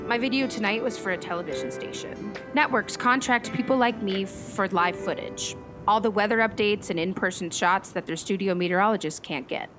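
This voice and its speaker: A woman's voice: medium pitch, a little fast, few pauses, over music, lightly acted. A woman playing an earnest, caring meteorologist in a naturalistic radio play, conversational and thoughtful. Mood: explanatory.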